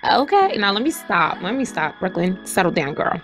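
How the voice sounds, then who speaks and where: Woman vlogger, raspy, chatty, mid-range pitch, light music underneath. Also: sing-song, normal speed. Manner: chatty, addresses viewers directly. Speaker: hair vlogger. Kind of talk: casual hair review and tutorial.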